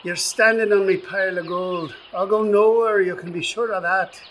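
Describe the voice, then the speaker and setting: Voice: mid-range voice, fairly even intonation, normal speed, a bit bright, slight background noise, natural voice, poor audio. An actor in a radio play voicing a grumpy, suspicious little leprechaun with an Irish accent, blustering and insulting.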